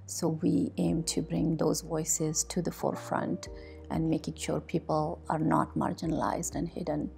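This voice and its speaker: A middle-aged woman from South Asia telling her story in a reflective interview, halting with frequent pauses. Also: low-pitched, little pitch movement, gravelly, warm tone, soft background music. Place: quiet office meeting room. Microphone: lapel mic.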